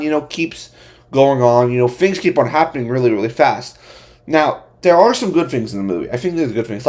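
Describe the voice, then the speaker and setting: A man giving a casual, unscripted movie review as an amateur host, speaking in a halting, repetitive way with many verbal tics and emphatic opinions. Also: medium pitch, darkish tone.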